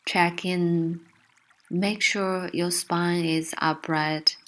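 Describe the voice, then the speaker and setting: A woman narrating a guided meditation with gentle, soothing, unhurried instruction. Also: lowish voice, fairly even intonation.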